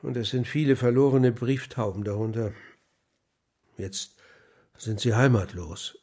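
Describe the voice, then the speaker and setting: Ein Mann als Taubenzüchter mit heiserer Stimme. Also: male voice, medium pitch, unhurried, darkish tone, lightly acted. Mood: calm, wistful. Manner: plain, explanatory. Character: reflective male pigeon keeper explaining his birds. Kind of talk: children's radio play acting.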